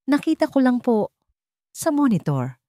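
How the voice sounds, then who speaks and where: A woman voicing a humble, timid male janitor, soft-spoken and respectful, in a narrated story. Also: high-pitched, sing-song, a little slow, no echo, clean studio sound, voice pushed up. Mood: hesitant.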